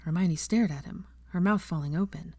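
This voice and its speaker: A woman reading fiction aloud as an audiobook narrator, in an expressive scripted style. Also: lowish voice, moderate pace, breathy edge, dry recording.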